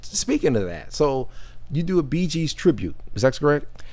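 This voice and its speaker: A man's voice: fairly high pitch, very animated, moderate pace, darkish tone. A man hosting his own talk show, introducing his guest in an upbeat way and asking casual questions with short affirming replies. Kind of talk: casual interview chat.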